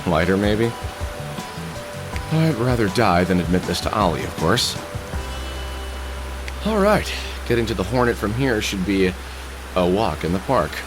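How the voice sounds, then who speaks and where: A man's voice: lowish voice, lively intonation, a little slow, pauses often, music in the background. An actor playing a weary, wry middle-aged man haunted by lost love, musing aloud in a video game. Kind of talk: video game voice acting, inner monologue and dialogue.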